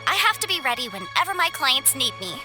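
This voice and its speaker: A witty young advisor. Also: female voice, high-pitched, big pitch swings, music in the background. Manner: playful, self-assured, quick comebacks. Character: young legal advisor woman, lively and witty. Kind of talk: game cutscene voice acting.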